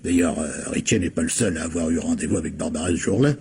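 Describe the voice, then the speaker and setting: Studio informant, low creaky voice, colloquial, gossipy, emphatic, radio crime drama, slightly noisy recording. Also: male voice, quick pace, darkish tone. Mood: gossipy, insistent. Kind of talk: French radio crime play acting.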